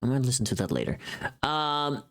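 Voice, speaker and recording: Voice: medium pitch, big pitch swings, brisk, a bit bright. A livestreamer chatting casually while working, rambling and muttering to themself. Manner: rambling, muttering to self, humming. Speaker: livestreamer, voice actor.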